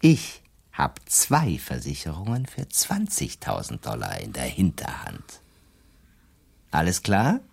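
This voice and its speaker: An actor playing a shrewd, self-assured defence lawyer in a radio play, explaining his schemes deliberately and slyly. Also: extremely low pitch, wildly swooping pitch, vocal fry, a little mellow, slightly rough, heavily acted. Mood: confident, sly. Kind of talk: German radio drama dialogue.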